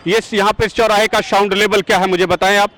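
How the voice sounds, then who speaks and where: A man from Azamgarh, India, speaking as a campaign organizer in a street interview at a busy road junction, arguing forcefully and firing rhetorical, indignant questions. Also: sits high, flat delivery, quick pace.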